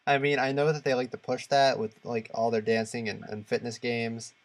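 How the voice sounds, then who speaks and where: Halting man in casual podcast talk. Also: mid-range voice, little pitch movement. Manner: halting, searching for words. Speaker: podcast co-host. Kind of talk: casual discussion of video games.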